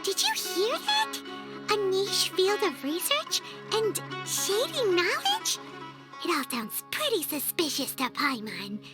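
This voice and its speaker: A tiny childlike fairy companion from a video game, squeaky and extremely high. She is excitable and suspicious, swinging up and down in pitch at an unhurried pace. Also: music in the background, exaggerated voice. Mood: alarmed, suspicious. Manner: exclaiming, refers to herself by name. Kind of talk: animated video game voice acting.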